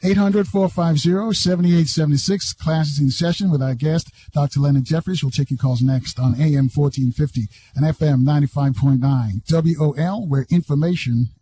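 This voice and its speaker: Male radio host, mellow tone, brisk announcing, faint background noise. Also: on the high side. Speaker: radio talk show host.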